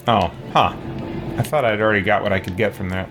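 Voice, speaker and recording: A man's voice: lowish voice, a little fast, music in the background. A man casually commentating on his gameplay, thinking aloud and muttering to himself. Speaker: livestreaming gamer, let's play host.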